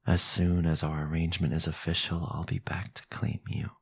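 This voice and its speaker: A man playing a sinister, possessive vampire lord in an audio roleplay, commanding and formal, with a breathy, gravelly voice. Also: low voice, level tone, no echo. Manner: commanding, formal, drawn-out menacing delivery. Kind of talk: boyfriend ASMR audio roleplay.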